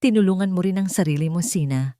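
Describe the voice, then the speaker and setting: Woman narrator voicing a quiet steady young man, calm earnest lines, low voice, dry sound, audiobook romance. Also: fast, no room sound, natural voice.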